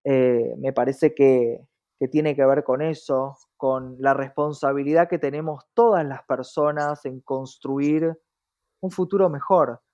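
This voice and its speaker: A man's voice: medium pitch, steady tone, a little slow, warm tone, highs cut off. An Argentine man speaking earnestly and reflectively as an interview guest, often checking in with short tag questions. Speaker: interview guest, diversity activist.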